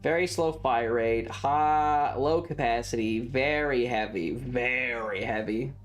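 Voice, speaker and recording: A woman's voice: a bass voice, slow, soft background music. A woman giving casual gameplay commentary as a streamer, offhand and thinking aloud. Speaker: game streamer.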